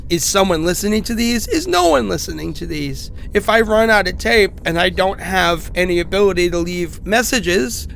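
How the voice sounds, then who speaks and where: A man's voice: high-pitched, gravelly, fairly bright tone, slight background noise, heavily acted. A man playing a sick, stranded man who rambles anxiously and wryly through recorded messages in a horror audio drama. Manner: rambling, conversational, hesitant, wry. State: sick with a cough.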